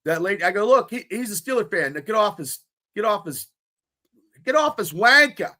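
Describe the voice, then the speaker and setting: A fired-up man co-hosting a sports podcast, rambling, repeating himself and restarting lines. His voice is forceful and bright, sitting a little high, and his nose sounds stuffed up. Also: normal speed. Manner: excitable, rambling with many restarts and fillers. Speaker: podcast co-host. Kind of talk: casual sports podcast chat.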